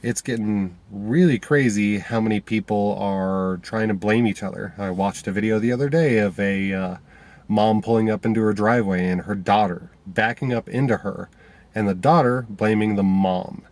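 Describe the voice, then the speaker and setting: Man with a lowish voice and a stuffed-up nose, casual rambling vlog filmed in his car. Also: moderate pace, darkish tone. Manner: rambling, many fillers and restarts. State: stuffy from a cold. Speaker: vlogger. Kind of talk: casual talk to camera. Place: inside a parked car.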